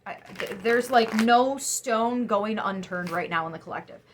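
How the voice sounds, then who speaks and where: A young woman giving a casual tarot reading to camera, chatty and emphatic, sniffly after crying, her voice turning soft when she muses. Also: mid-range voice, normal speed, slight background noise. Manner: chatty, emphatic, drops to soft musing asides. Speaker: tarot reader.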